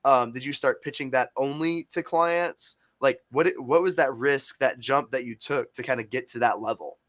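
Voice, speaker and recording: Moderator, Mann, mittlere Stimmlage, neugierig fragend, trockene Aufnahme. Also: male voice, mid-range voice, normal speed, fairly bright tone, no echo, clean studio sound. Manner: curious, asks rambling questions. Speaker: interview host. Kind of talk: casual interview talk.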